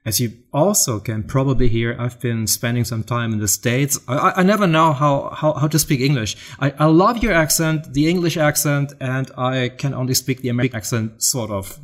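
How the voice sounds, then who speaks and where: A middle-aged man with a German accent talks in a relaxed interview, his voice sitting in an ordinary middle register. He stumbles and repeats words as he hunts for the right English phrasing. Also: medium pitch, normal speed, hardly any pauses. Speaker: guest, photographer. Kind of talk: casual interview chat.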